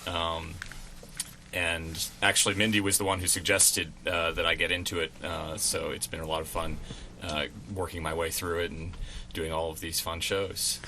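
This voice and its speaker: A man with a deep voice and a very bright, forward edge gives a casual radio interview. He explains things in a chatty way, as an actor in radio plays would. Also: little pitch movement, normal speed, a little hiss or hum. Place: college radio station.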